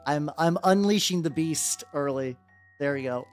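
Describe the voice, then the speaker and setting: A young man with a relatively high voice chatting casually on a livestream while painting miniatures, rambling and often repeating himself. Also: moderate pace. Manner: rambling, repeats words, laughs often, squeals in playful high bits. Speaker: VTuber streamer. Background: soft background music.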